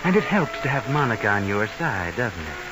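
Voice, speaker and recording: An actor playing a sinister, insinuating narrator of crime tales in a 1940s radio mystery. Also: male voice, fairly low pitch, very animated, brisk, low-quality recording. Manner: insinuating, knowing. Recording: old radio recording, loud music.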